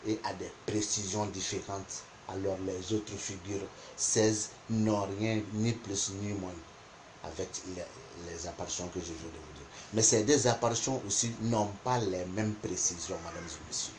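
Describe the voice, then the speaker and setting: A man whose first language is not French, teaching a spoken lesson on interpretation, deliberate and repeating points for emphasis. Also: low-pitched, steady tone, noisy background. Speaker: geomancy teacher.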